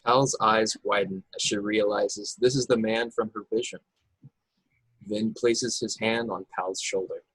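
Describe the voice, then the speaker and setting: A man hosts and narrates an amateur table read. His voice is low and rough-edged, recorded close and dry on a video call. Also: steady tone, measured pace, a bit bright, no room sound, rough recording. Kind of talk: scripted narration and casual chat.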